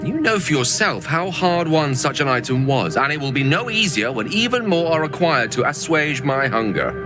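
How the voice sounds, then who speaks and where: A man's voice: on the high side, big pitch swings, moderate pace. An actor playing an earnest, genteel male wizard in a fantasy game, with a formal, wordy, courteous manner. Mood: grave, urgent. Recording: music under the voice.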